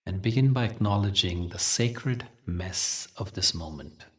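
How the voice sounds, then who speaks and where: A man leading a guided meditation as a teacher, telling spiritual stories in a gentle, measured, soothing way with deliberate pauses. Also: sits low, recorded from a distance.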